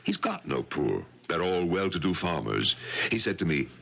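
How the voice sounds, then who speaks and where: An actor playing a wealthy, shrewd titled gentleman in a radio drama, smooth and persuasive with a pompous air. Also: low-pitched, big pitch swings, normal speed, heavily acted. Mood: scornful. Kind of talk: 1970s radio drama acting. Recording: dull, noisy 1970s radio recording.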